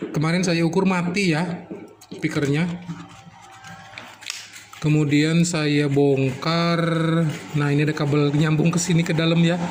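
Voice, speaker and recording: A man's voice: on the high side, darkish tone, a little hiss or hum. A man giving a casual hands-on repair commentary, thinking aloud step by step as he works. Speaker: electronics repairer and video host.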